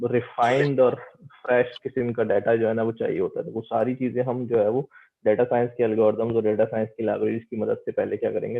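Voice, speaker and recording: A man's voice: medium pitch, vocal fry, narrow, tinny audio. Man lecturing informally, repeating for emphasis. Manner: explanatory, repeats phrases for emphasis. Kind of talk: informal interactive online lecture.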